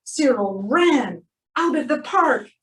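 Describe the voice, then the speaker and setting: A woman reads aloud in an expressive storybook manner. Her voice is fairly high and very lively, taken at a slow pace with stretched emphasis. She sounds distant, in an echoey room. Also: slight background noise. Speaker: animal shelter presenter reading a picture book. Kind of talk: children's storybook read-aloud. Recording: roomy, distant microphone.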